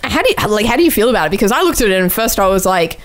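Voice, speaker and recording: A woman with a medium-pitched voice chats informally as a podcast co-host. Her delivery is animated and peppered with swearing, and she has a teasing way with her friends. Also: rapid-fire. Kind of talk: casual chat.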